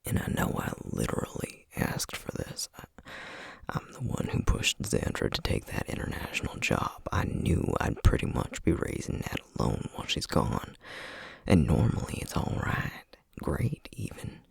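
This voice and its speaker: A man playing a gentle, burnt-out sorcerer dad with a Southern American accent in a whispered ASMR roleplay, halting and full of self-doubt. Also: extremely low pitch, sing-song, dry recording, exaggerated voice. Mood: exhausted, troubled. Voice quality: hushed, breathy whisper. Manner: halting, confiding, with sighs and trailing pauses.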